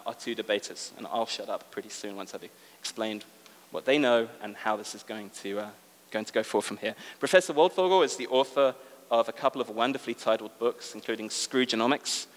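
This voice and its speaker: A man's voice: on the low side, brisk, a bit bright. A man with a British accent giving articulate, lecture-style opening remarks as moderator of a public debate, with frequent ums. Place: large exhibition hall with an audience. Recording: long reverb of a large hall.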